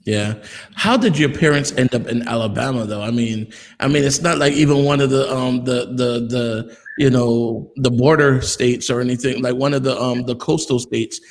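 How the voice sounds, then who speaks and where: A relaxed male host who chuckles often and hunts for words with plenty of ums. His voice trails off into a long, reverberant tail. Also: medium pitch, moderate pace. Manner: friendly, laughs often, many ums. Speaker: host of interview show. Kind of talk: casual interview. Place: South Florida.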